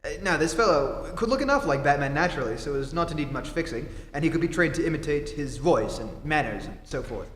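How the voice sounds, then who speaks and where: An actor playing an earnest, determined reporter who is secretly a superhero, in 1940s radio style. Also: male voice, on the high side, animated, brisk, hardly any pauses, fairly bright tone. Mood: urgent, insistent. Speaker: amateur theatre actor. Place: theatre stage before a live audience. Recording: echoey hall, live stage performance, noisy.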